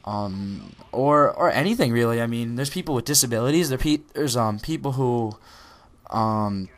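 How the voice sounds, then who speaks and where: A man's voice: medium pitch, lively intonation, normal speed. Rambling teenage boy, lots of ums. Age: teens. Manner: rambling, many ums and restarts. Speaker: gaming video creator. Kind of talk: casual motivational talk over gameplay.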